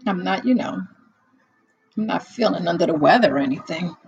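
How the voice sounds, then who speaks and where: A middle-aged woman hosting a casual livestream chat, rambling and chatty with lots of fillers and laughs, a little hoarse as she loses her voice. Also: on the low side, lively intonation, a little fast, a little mellow, dry recording, dull audio. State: losing her voice, slightly hoarse. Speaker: livestream host. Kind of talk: casual chat with live viewers.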